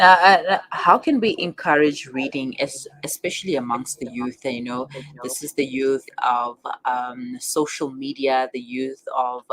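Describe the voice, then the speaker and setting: An interviewer putting questions to a guest in halting, hesitant phrases. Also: mid-range voice, a bit bright, no echo, rough recording. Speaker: radio interviewer.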